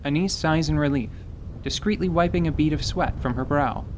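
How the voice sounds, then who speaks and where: A woman's voice: low voice, brisk, darkish tone, a little hiss or hum. A woman acting as narrator and game master, reading scripted fantasy narration.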